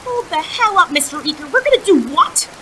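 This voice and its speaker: A woman's voice: extremely high pitch, lively intonation, a little fast, a bit bright, a little hiss or hum. A woman playing a wary, sarcastic teenage schoolgirl pulled into superhero business, blunt and skeptical, in an audio drama. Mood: nervous, protesting. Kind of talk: audio drama acting with first-person narration.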